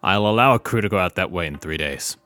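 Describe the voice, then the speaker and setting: Calm cautious leader man, low voice, firm n measured. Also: no room sound. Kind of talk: audio drama acting.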